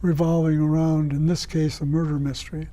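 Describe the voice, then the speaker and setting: Mellow, higher-pitched man explaining in an interview. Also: level tone, brisk. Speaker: guest, writer and director of radio plays.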